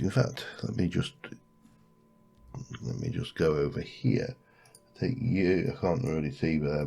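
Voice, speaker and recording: A low-pitched man hosting a relaxed gameplay commentary, his voice stuffy and bunged up from a cold. He mutters and thinks aloud as he goes, talking half to himself. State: congested from a cold. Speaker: let's play host.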